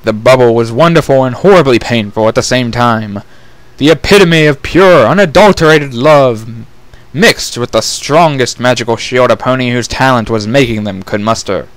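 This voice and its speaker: A man's voice: medium pitch, normal speed, no echo, poor audio. A man narrating a fantasy story as a scripted dramatic reading.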